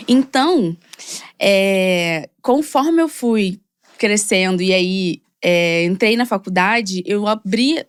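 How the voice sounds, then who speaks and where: A young woman from Rio de Janeiro with a Carioca accent, a podcast guest telling her story in a chatty, animated way full of fillers. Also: medium pitch, takes it slowly, smooth, no echo, clean studio sound. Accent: Carioca Brazilian Portuguese. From Rio de Janeiro, Brazil. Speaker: guest, model and content creator. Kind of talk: casual podcast conversation.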